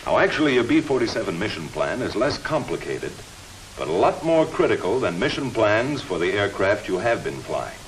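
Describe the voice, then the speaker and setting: A man's voice: fairly low pitch, big pitch swings, normal speed, low-quality recording. An American man giving a scripted 1950s military briefing, addressing trainees directly as their instructor. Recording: faintly hissy 1950s film soundtrack.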